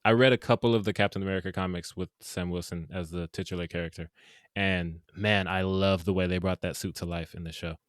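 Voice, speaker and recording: Laid-back man with a lowish, dry voice. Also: fairly even intonation, brisk, no room sound. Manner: laid-back, laughs easily. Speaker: podcast co-host. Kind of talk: casual movie review chat.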